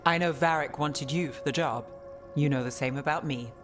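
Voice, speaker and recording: A woman's voice: sits low, normal speed, music in the background, close to an everyday voice. An actress playing a pragmatic, wry female mage investigator in a fantasy video game, with a dry, guarded delivery. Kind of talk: cinematic video game dialogue.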